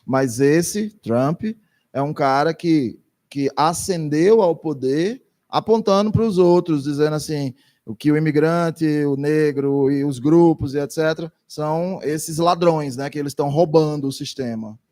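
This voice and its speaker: A man's voice: a little high, measured pace, dark tone. A man doing consecutive interpretation for a lecture, speaking hesitantly with frequent restarts.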